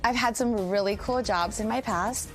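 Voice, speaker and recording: A woman's voice: medium pitch, moderate pace. A woman in her thirties from California introduces herself as a game show contestant. Her mid-range voice stays composed and matter-of-fact, with faint music underneath. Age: 30s.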